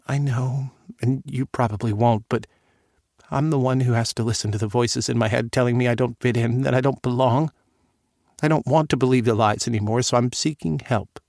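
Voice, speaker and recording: A man voicing a tearful, apologetic young adult daughter, speaking in a soft, shaky, pleading way. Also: medium pitch, fairly even intonation, moderate pace, creaky, dry recording, studio quality, lightly acted.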